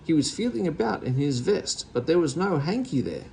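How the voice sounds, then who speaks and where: Male story reader, children's read-aloud, lively intonation, slightly creaky, faint background noise. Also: fairly high pitch, moderate pace, poor audio.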